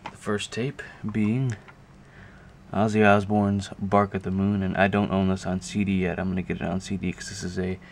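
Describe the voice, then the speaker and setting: A man giving a casual, unscripted show-and-tell to a camera, talking haltingly and thinking aloud as he goes. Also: deep voice, little pitch movement. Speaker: video creator showing a music collection. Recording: clear background noise, amateur camera audio.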